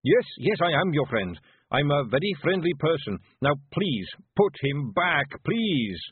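A man's voice: a little high, lively intonation, unhurried, husky, voice pushed up. A man playing a panicky pleading shopkeeper. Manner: anxious, begging. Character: nervous, pleading little male shopkeeper. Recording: tinny phone-like sound.

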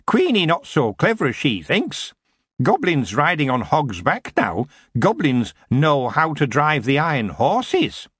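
Defiant goblin, cheeky and ungrammatical, played by a man, pitched up and springy. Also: huge pitch swings, unhurried, a bit bright, breathy edge, dry recording, clean studio sound, exaggerated voice. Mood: mocking. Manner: broken grammar, cocky, mocking. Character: cheeky stocky male goblin, defiant and proud.

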